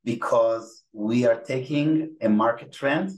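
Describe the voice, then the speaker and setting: Israeli man, halting English. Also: mid-range voice. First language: Hebrew. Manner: hesitant, many fillers and restarts. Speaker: guest. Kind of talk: podcast interview.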